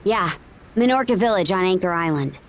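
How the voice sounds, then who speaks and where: A woman's voice: lowish voice, expressive, brisk. A woman playing a confident, practical young seafarer in a video game cutscene, speaking casually and matter-of-factly.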